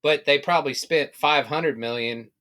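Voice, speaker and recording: Man, bright tense tone, podcast co-host, short dry interjections. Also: a little high, moderate pace. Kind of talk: casual podcast chat.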